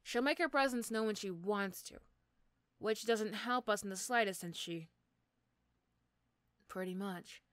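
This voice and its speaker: A woman's voice: mid-range voice, measured pace, pauses often, a bit bright, no room sound, clean studio sound, close to an everyday voice. Woman acting as a sardonic, menacing supervillainess. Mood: dry, casual. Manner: sardonic, threatening, teasing. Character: menacing but begrudgingly fond female supervillain. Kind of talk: ASMR roleplay acting.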